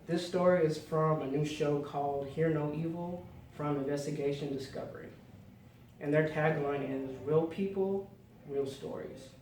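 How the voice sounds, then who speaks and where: Guy doing a storytelling speech, kinda high voice, pauses for drama, mic far off with a bit of noise. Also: a little mellow. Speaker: public speaker. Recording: roomy, distant mic, faint noise.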